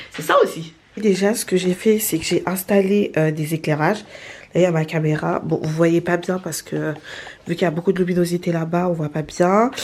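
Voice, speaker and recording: A young woman vlogging at home, chatty and animated, with a stuffy nose from a cold. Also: fairly low pitch, slight background noise. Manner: chatty and animated, laughing often. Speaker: vlogger and beauty entrepreneur. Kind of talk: casual vlog talking to camera. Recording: echoey room, voice from far off.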